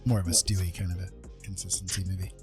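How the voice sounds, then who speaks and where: A man with a husky, raspy voice co-hosting a livestream in casual chat. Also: sits low, flat delivery, fast, a little mellow, faint music behind. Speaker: co-host of an art livestream.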